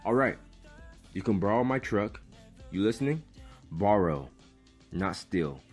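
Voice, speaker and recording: An actor playing a firm, protective family man in an audio drama, blunt and gruffly teasing in his talk. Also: fairly low pitch, expressive, unhurried, a little mellow, soft background music.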